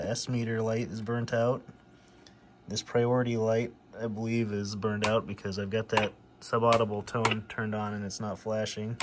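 Low-voiced man with background noise. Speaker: host of a radio repair video. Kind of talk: casual hands-on demonstration narration. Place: indoor home workshop. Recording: clear background noise, workshop ambience.

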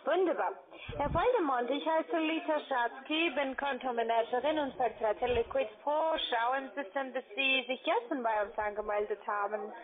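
A woman with a foreign accent making a formal, halting sales call as an account manager. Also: high voice, a crowd in the background. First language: not German. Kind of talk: scripted cold-call sales talk. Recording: narrow tinny phone line.

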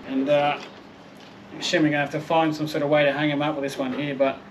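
A man's voice: on the high side, level tone, fast talker, a little hiss or hum, low-quality recording. A man in his thirties with an Australian accent, chatting casually and self-deprecatingly as he unboxes and reviews camping gear outdoors. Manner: laid-back, chatty, self-deprecating, swears mildly. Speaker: camping vlogger. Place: outdoor patio, then a garage.

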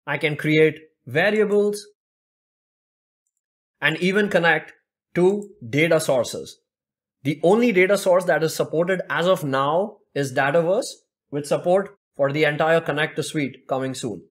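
Man, young adult, slightly high voice, screen-recorded tutorial narration, steady step-by-step delivery, desk mic. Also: measured pace. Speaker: tech tutorial presenter.

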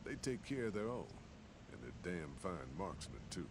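Gruff caravan boss in a game, real deep voice, lively delivery, noise under it. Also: male voice, normal speed, full character voice. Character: gruff middle-aged male caravan master. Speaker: video game character.

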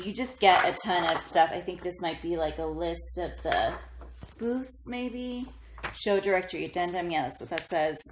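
A woman in her thirties chatting casually and excitably, with a self-deprecating, giggly manner, as a craft vlogger. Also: medium pitch. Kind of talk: casual chatty haul vlog. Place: indoors in a bedroom, sitting up in bed. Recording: roomy echo, faint noise, handling clicks.

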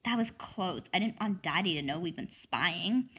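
Animated, slightly husky woman reading aloud. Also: on the low side, normal speed. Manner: animated, acting out dialogue and sound effects. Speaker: read-aloud host. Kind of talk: expressive storybook read-aloud.

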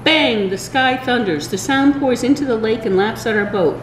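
An older woman reading a children's storybook aloud, expressive and deliberate, with small stumbles. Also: a little high. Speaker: library story time reader. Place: indoors in a large library room. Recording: roomy echo, faint background room noise.

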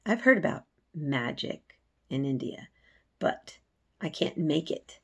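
A woman's voice: low-pitched, expressive, slow, breathy edge, muffled recording, pitched below the performer's own voice, heavily acted. A woman voicing a curious, matter-of-fact young girl in a storybook, plain-spoken and insistent. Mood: wondering.

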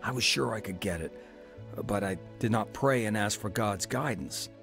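A man's voice: fairly low pitch, moderate pace, gravelly, a bit bright, soft background music. An actor playing a reformed former crook who tells his own story in a radio drama, talking plainly and reflectively. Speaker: narrator and main character. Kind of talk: radio drama acting and narration.

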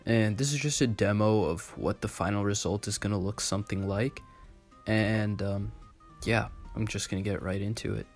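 A man hosting a casual screen-recorded coding tutorial at his computer desk, relaxed and full of ums and restarts. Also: on the low side, normal speed, faint music behind. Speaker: tutorial host.

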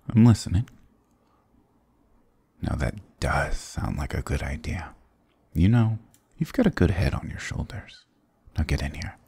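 Very deep, gravelly flirty goth guy, acted by a man. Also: mellow, dry recording, full character voice. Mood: flirty, affectionate. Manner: teasing, affectionate, mock-dramatic. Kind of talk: intimate ASMR roleplay acting.

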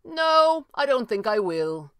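A woman's voice: on the high side, big pitch swings, moderate pace, bright, forceful tone, no echo, pitched above the performer's own voice. A woman voicing a mocking masked male red panda adventurer, calling out theatrically in an audio story. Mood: playing dumb.

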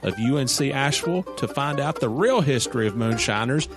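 A man's voice: medium pitch, lively intonation, fairly quick. A man reading a scripted promo as a podcast host. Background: light music.

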